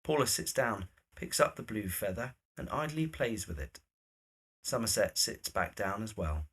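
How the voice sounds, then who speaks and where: Man narrating a drama, slightly husky. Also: fairly low pitch, sing-song, normal speed, a bit bright. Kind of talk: scripted narration of stage directions.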